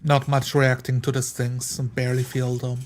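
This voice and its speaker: A man's voice: mid-range voice, monotone, normal speed. A man playing a tabletop roleplay game, casually improvising what his character does and says.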